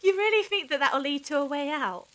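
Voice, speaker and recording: A woman playing a level-headed, sceptical captive in a fan audio drama, with a measured, questioning manner. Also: high-pitched, bright, forceful tone.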